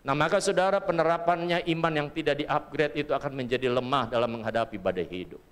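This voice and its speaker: A man's voice: on the high side, quick pace, smooth. A middle-aged pastor from Papua, Indonesia, preaching a sermon and telling his own life story earnestly to the congregation. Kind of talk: sermon with personal testimony. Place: church hall. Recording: long church hall reverb.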